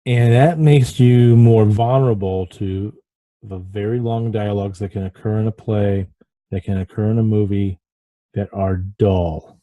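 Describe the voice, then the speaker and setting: A man hosting an informal writing lesson, halting and apologetic as he talks and reads aloud, often stumbling over his words. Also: lowish voice, fairly even intonation, warm tone, no room sound, poor audio. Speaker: host of a writing podcast. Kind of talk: informal lesson with passages read aloud from a book.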